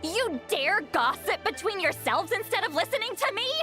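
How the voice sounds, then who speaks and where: An actress playing a stern, exasperated elderly granny with a sharp tongue in a video game, nagging emphatically. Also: female voice, very high-pitched, sing-song, normal speed, faint music behind, exaggerated voice. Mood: indignant. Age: older. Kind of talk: dramatic video game voice acting.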